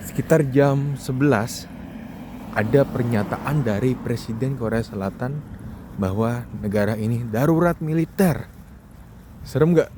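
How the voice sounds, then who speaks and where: A man's voice: mid-range voice, measured pace, pauses often. Young Indonesian man vlogging over street noise. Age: young adult. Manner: informal, conversational, addresses the audience with questions. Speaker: vlogger, foreign student. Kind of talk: casual monologue commentary to viewers.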